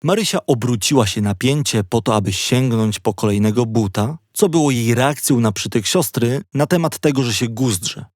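A man narrating a scripted children's story with expressive storytelling delivery. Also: mid-range voice, normal speed, hardly any pauses, no room sound, clean studio sound. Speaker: audiobook narrator.

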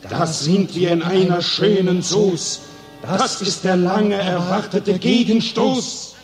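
An actor playing a threatening, grumbling soldier, declaiming verse in an old radio play. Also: male voice, high voice, slow pace, over music. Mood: menacing.

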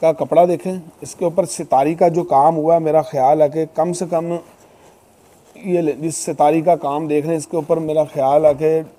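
A man giving a persuasive, conversational sales pitch as a shop seller. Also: steady tone, moderate pace, warm tone. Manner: persuasive, repeatedly checks with "okay?". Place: fabric shop, indoors, seated on floor. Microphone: clip-on lapel mic.

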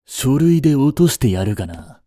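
Man playing a mellow, possessive young butler. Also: mid-range voice, very animated, moderate pace, lightly acted. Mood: cold, threatening. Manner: formal, polite to his mistress, curt to rivals. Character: cool young male butler, devoted, jealous, possessive. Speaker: voice actor. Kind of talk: Japanese romantic audio drama acting.

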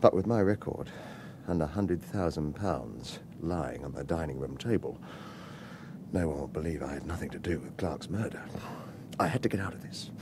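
A man's voice: low-pitched, noisy background. An actor playing a guarded, world-weary retired hitman in a radio crime drama, terse and wry. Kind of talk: radio crime drama dialogue and first-person noir narration.

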